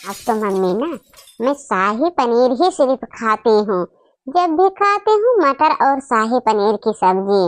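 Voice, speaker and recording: A woman's voice: a little high, very animated, unhurried, dry recording. A woman voicing a boastful, snobbish young married daughter in a family comedy cartoon, bragging and complaining as she talks. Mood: boastful, disdainful.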